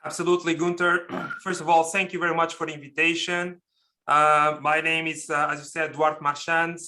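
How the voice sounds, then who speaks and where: A man's voice: on the high side, flat delivery, fairly quick, pushed and bright. A middle-aged man from Portugal, answering interview questions as a podcast guest in an explanatory, emphatic way. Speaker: podcast guest, pharmaceutical executive.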